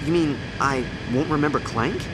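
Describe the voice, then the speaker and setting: An actor voicing a loyal, eager young alien hero with a casual manner in a game cutscene. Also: male voice, a little high, moderate pace, echoey room, big-room sound, very noisy, lightly acted. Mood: confused. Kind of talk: animated video game cutscene acting.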